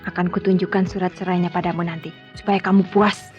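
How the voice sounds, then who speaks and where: A woman's voice: on the low side, level tone, fast, a little mellow, natural voice. Actress as guarded woman doctor, muffled radio sound. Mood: cool, sarcastic. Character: female doctor, guarded, sarcastic, proud. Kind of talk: Indonesian radio drama acting. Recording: dull radio recording, music behind.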